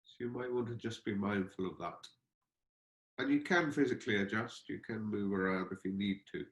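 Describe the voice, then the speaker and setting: A man leading a guided meditation as a teacher, speaking gently and unhurriedly, feeling out his phrases with pauses. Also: fairly low pitch. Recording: roomy, echoing space.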